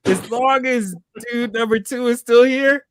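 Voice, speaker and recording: A man's voice: very high voice, unhurried, clean tone, dry recording. A man hosting a movie talk show, chatting casually and jokingly about films and recapping news.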